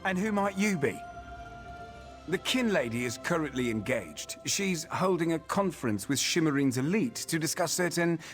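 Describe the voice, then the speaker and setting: An actor voicing a prim, officious elf doorman in a video game, formal and fussy, in a reverberant space. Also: on the high side. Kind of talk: video game character acting.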